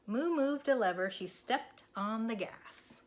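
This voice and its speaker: A woman narrating a children's storybook aloud in a deliberate, expressive school read-aloud style. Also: fairly high pitch, a little hiss or hum. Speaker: elementary school teacher reading aloud.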